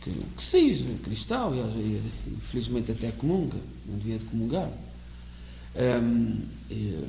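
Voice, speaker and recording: A man from Portugal with a European Portuguese accent, preaching an earnest sermon in a halting way, often repeating words as he searches for the next one. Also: medium pitch, very animated, creaky, a little mellow. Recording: echoey room with faint hum.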